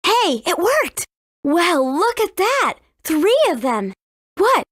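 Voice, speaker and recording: A woman's voice: very high-pitched, sing-song, takes it slowly, fairly bright tone, dry recording, clean studio sound, full character voice. A woman voicing a confident, cheeky teenage heroine in a video game, breezy and persuasive. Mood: teasing, sly. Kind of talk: video game character acting.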